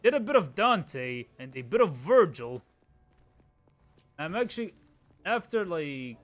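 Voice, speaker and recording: A man in his late twenties hosting a casual game livestream, chatting to viewers in slangy, informal talk. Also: high-pitched. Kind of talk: casual gameplay chat with viewers.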